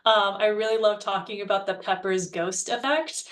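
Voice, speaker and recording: Mid-pitched woman explaining conversationally. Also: fairly even intonation, moderate pace, a bit bright. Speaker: writer. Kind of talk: casual panel conversation.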